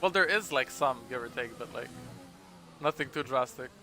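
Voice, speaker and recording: A man's voice: medium pitch, moderate pace, bright, forceful tone, soft background music. A man commentating a sim-racing livestream in casual chat, laughing often and trailing off with fillers. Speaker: race commentator.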